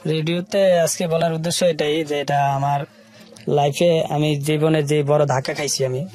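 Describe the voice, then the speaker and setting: A man with a Bangladeshi accent telling his life story as an interview guest, in colloquial, halting short phrases. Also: a little high, level tone, a little mellow, faint music behind.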